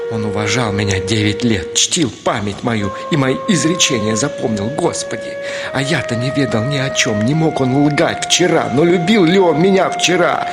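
An actor plays a troubled middle-aged gentleman in a Russian radio drama. His low male voice is deliberate and intense, moving between murmur and outcry as he questions himself. Loud music plays behind him. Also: close to an everyday voice. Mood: impassioned. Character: brooding, agitated middle-aged gentleman reasoning feverishly with himself.

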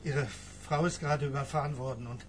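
An actor in a radio drama playing a polite neighbourhood gardener, anxious and urgent in a deferential way. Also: male voice, mid-range voice, fairly even intonation, fairly quick, a little hiss or hum, lightly acted.

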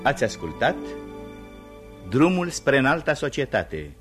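A man's voice: medium pitch, very animated. A man giving a scripted closing announcement as a radio announcer. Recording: music playing behind the voice.

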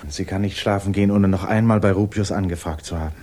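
An actor playing a grave, detached storyteller, narrating a 1950s German radio drama. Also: male voice, deep voice, steady tone, fast talker, dark tone, soft background music, close to an everyday voice. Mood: grave, subdued. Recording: hissy 1950s radio recording.

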